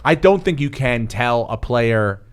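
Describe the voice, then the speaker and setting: A male podcast host in loose sports banter. He talks in a rambling way, restarting sentences and needling his guests, with a voice at a middling pitch. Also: animated, measured pace, smooth.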